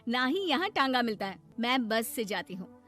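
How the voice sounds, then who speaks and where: A woman voicing a kind, gently bossy older city cousin who explains things patiently in a cartoon story. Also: fairly high pitch, sing-song, normal speed, pitched below the performer's own voice. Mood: patient, proud. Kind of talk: Hindi moral-story cartoon dubbing. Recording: music behind the voice.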